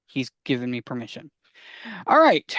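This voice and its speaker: A man's voice: medium pitch, huge pitch swings, moderate pace, a bit bright, no echo. A man co-presenting a podcast in casual explanatory chat, wry and rambling, repeating words for emphasis.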